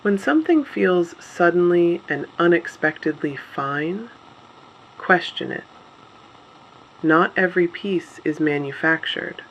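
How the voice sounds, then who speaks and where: Muffled audio with background noise, performer playing grave resistance radio announcer, unhurried, measured, solemn, steadfast, scripted dystopian drama. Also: mid-range voice, expressive, fairly bright tone. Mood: measured, cautionary. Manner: measured, solemn, deliberate short phrases.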